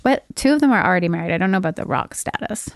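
A woman's voice: fairly low pitch, lively intonation, racing, gravelly, dry recording, studio quality. A woman co-hosting a casual comedy podcast, trading blunt, bawdy quips. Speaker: podcast co-host.

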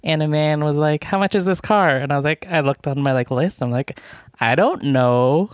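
Easygoing, self-deprecating podcast guest, middling pitch, dry recording. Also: normal speed, clean tone, no room sound. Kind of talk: casual chat, personal anecdotes.

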